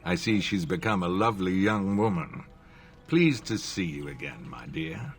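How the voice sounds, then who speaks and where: An actor playing a courtly, sly, greying middle-aged lord in a video game, with a gravelly voice and formal manner. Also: male voice, sits low, expressive, normal speed. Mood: courtly, genial. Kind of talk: video game dialogue acting.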